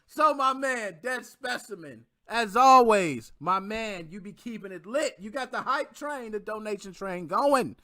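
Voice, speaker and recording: A man's voice: high-pitched, lively intonation, unhurried, few pauses, bright, forceful tone, dry recording, studio quality. A man co-hosting a casual livestream, full of hype slang, laughing often and asking "you know what I mean".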